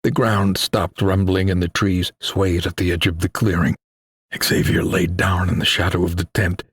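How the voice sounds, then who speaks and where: Man, very low husky voice, grave dramatic narration, suspenseful delivery, dry close sound. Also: flat delivery, normal speed, no room sound. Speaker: audiobook narrator. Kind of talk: scripted dramatic horror-adventure narration.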